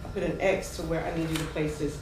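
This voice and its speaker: A woman on stage playing a condescending, officious welfare caseworker with a brisk, bureaucratic and patronizing manner. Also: low-pitched, little pitch movement, darkish tone, natural voice. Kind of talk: theatrical stage play dialogue. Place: theater stage, recorded from a distance. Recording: echoey stage hall, far mic, noisy.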